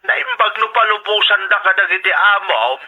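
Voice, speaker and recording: Actor as a high-voiced old father on telephone. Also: lively intonation, normal speed. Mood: concerned. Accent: Filipino. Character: concerned, fatherly older man, a worker's father. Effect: telephone filter. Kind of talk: Ilocano radio drama acting. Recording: thin, narrow telephone-line sound.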